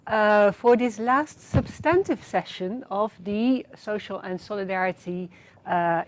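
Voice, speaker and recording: A woman hosting a conference session, speaking in a formal introductory style. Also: medium pitch. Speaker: event host introducing the moderator.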